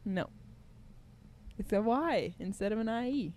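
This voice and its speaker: A woman's voice: medium pitch, animated, unhurried, darkish tone, a little hiss or hum. A woman co-hosting a comedy music podcast as the straight partner, chatting casually in brief, agreeing, easily amused replies. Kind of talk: casual chat, supportive straight-man.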